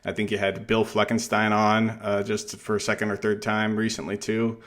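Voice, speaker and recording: A man's voice: lowish voice, monotone, normal speed, a bit bright. A man hosting a casual, conversational podcast interview.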